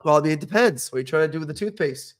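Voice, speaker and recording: A man's voice: a little high, animated, very fast. A young man who studied dentistry, hosting a casual podcast and giving blunt, opinionated advice with frequent "okay?" check-ins. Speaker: podcast host, dental professional. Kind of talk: casual educational podcast talk.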